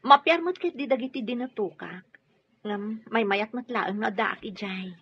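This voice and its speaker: An actress playing a hurt, resolute pregnant wife in a radio drama, speaking emphatically with an aggrieved manner. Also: female voice, fairly high pitch, measured pace, slightly rough. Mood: resigned. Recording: dull lo-fi radio drama audio.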